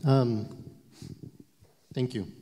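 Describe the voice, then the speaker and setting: A man in his forties speaks on a panel, pausing and restarting as he thinks through his words. His voice is mellow and mid-pitched. It rings with the long reverb of a large event hall. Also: steady tone. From United States. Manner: halting, thoughtful, with frequent restarts. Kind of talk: panel talk.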